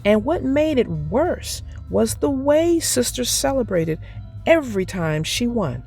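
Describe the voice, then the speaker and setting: A woman narrating a children's read-aloud as storyteller, expressive and deliberate. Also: on the high side, creaky, faint music behind.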